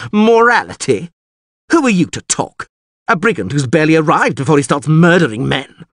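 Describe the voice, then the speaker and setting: A man's voice: mid-range voice, slightly rough, no echo, clean studio sound, voice pushed up. A man voicing an agitated, haughty nobleman in an audiobook, with a strained, raised voice. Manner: sneering, blustering, agitated. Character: haughty, furious nobleman, frightened and pleading when bound. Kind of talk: audiobook character acting.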